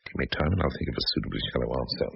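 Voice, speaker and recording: A man's voice: very deep voice, racing, vocal fry, highs cut off, slight background noise, full character voice. An actor playing a cynical, self-deprecating, bitterly witty man in a radio drama, speaking with languid eloquence. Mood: bitter musing.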